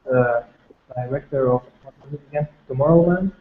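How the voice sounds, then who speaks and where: A man's voice: medium pitch, fairly quick. A man chatting casually in a group interview, often hedging and repeating himself as he rephrases. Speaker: member of an art and design collective. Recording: echoey, dull online call with faint noise.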